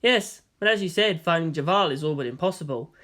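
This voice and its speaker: A man's voice: a little high, expressive, brisk, fairly bright tone. A man voicing a weary, patient teenage boy traveller with a dry, reassuring manner in a story reading.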